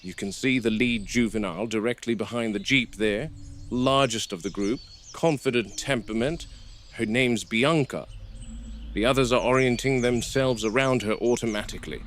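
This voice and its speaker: A man playing a serious, seasoned game warden who narrates a field log in a measured, matter-of-fact way. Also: medium pitch, a bit bright, natural voice. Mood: calm, observant. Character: serious seasoned male game warden logging work with dinosaurs. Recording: noisy VHS-style field recording.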